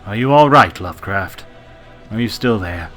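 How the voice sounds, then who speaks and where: A man's voice: fairly low pitch, animated, moderate pace, fairly bright tone, faint music behind. A man playing a distraught, devout president in an audio drama, stammering and quavering with melodramatic fervour. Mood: frightened, quavering. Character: distraught, fanatically devout male president, easily overwhelmed. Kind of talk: melodramatic radio drama acting.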